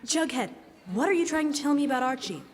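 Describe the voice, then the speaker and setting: A woman's voice: high voice, expressive, fast talker. A young woman playing a sweet, polite, bewildered teenage girl, earnest and theatrical in a classic-style radio play. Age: teens. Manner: wide-eyed, earnest, theatrical. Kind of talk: live stage radio drama in classic style. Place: stage in a hall, live performance. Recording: echoey stage hall recording.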